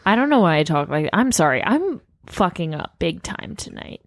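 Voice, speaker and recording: A woman's voice: on the low side, sing-song, normal speed, no echo, clean studio sound. A woman co-hosting a comedy podcast in casual chat, playful and self-deprecating, with some swearing.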